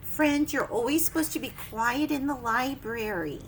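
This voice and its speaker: A woman leading preschool story time, reading a picture book with simple, expressive asides and questions to young children. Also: high-pitched, moderate pace, slight background noise.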